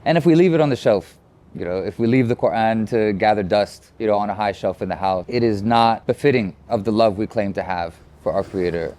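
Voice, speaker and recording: Calm low-voiced American man. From United States. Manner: measured, thoughtful, frequent "you know" fillers. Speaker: interviewee. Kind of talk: reflective documentary interview.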